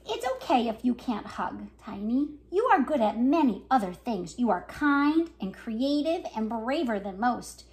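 Woman reading as a gentle, high-voiced dinosaur mother. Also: lively intonation, measured pace, few pauses. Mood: tender, reassuring. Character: gentle, reassuring mother T-rex.

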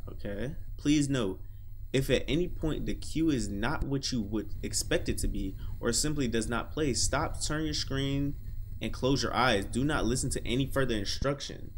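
A young man in a casual reaction style, reading text aloud and breaking in with slangy, animated exclamations. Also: mid-range voice, slight background noise. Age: young adult. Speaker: reaction video host. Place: small room indoors.

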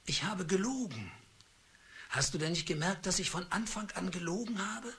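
An actor playing a bitter middle-aged husband who speaks in a cold, deliberate and accusing way in a radio play. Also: male voice, high voice, expressive, fairly bright tone, slightly rough, exaggerated voice. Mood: coldly accusing. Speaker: husband Charles.

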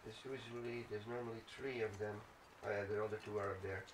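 A man's voice: fairly low pitch, fairly even intonation, quick pace. A man giving casual, rambling gameplay commentary, thinking aloud as he plays. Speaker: let's play gamer. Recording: roomy, distant mic, faint noise.

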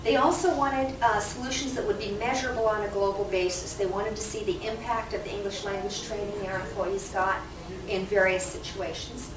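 A woman's voice: medium pitch, fairly even intonation, fairly quick, hardly any pauses. Echoey, distant woman presenting at a conference. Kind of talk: prepared presentation to a live audience. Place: conference session room. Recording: echoey noisy room, distant microphone.

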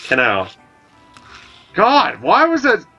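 A man's voice: high-pitched, slow, highs cut off, faint music behind. A man in his twenties from Alberta, chatting and joking while streaming a game. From Alberta, Canada. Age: 20s. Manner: joking, animated. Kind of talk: casual chat while playing.

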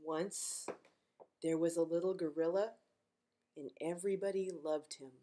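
A middle-aged woman reading a picture book aloud to young children, gentle and expressive, as a librarian at home. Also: fairly low pitch, a little slow. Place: living room at home, indoors.

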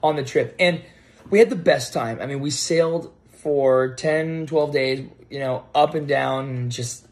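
A man's voice: mid-range voice, unhurried. American man in his thirties telling stories casually. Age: 30s. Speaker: storyteller. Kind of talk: casual storytelling to camera. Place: home kitchen, indoors. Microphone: phone propped on table at arm's length.

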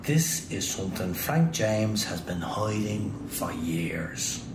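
A lively Irish private detective. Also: male voice, slow pace. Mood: brisk, wry. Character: brisk, wry male private detective in charge. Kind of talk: radio drama acting. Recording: rumbling background noise, some room echo.

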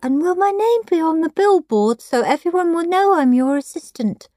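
A woman's voice: extremely high pitch, lively intonation, moderate pace, warm tone, dry recording, studio quality, faint music behind, voice pushed up. A woman voicing a meek, polite, eager little boy in a children's storybook. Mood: hopeful.

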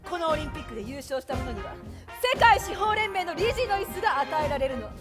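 A woman's voice: high-pitched, big pitch swings, quick pace. A woman playing a haughty, scornful young prosecutor in a stage play. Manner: imperious, scornful. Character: haughty, sharp-tongued young female prosecutor. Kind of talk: theatrical stage play acting. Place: theatre stage. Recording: stage music behind, theatre recording.

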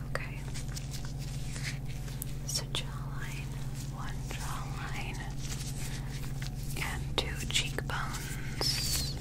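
Softly whispering young woman, slow and gentle. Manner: gentle, slow, methodical, reassuring. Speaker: ASMR performer playing an alien medical examiner. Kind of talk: soft whispered ASMR roleplay.

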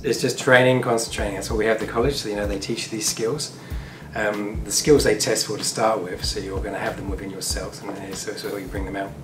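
A man's voice: fairly low pitch, steady tone, brisk, over music. A man in his thirties coaching and explaining informally as a controller and instructor, recorded in an indoor room. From United Kingdom. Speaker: air traffic controller and instructor. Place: indoor tower simulator room.

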